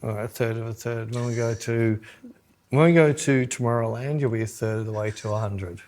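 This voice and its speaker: A man's voice: mid-range voice, fairly quick, a little mellow. A man in his sixties co-hosting a podcast, chatting casually with dry, teasing banter. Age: 60s. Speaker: podcast co-host. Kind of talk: casual chat.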